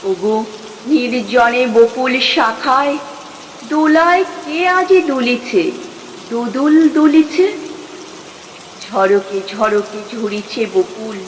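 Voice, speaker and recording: A woman gives an expressive poetry recitation, with dramatic, drawn-out phrasing and deliberate pauses. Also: a little high. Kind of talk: expressive Bengali poem recitation. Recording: long hall reverb, clear background noise.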